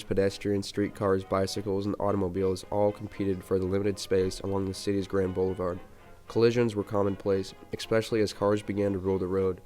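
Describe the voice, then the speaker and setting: A man's voice: on the low side, a little mellow. A man reading scripted narration as a reporter on a 1920s-style radio program.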